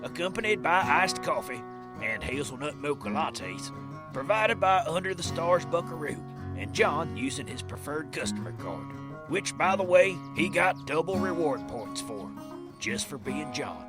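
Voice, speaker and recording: Man as a high-pitched folksy narrator over guitar. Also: very animated, measured pace. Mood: wry. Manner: wry, florid storytelling. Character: folksy, wry storyteller of a Western comedy. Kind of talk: scripted narration. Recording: loud plucked guitar music under voice. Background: plucked guitar music.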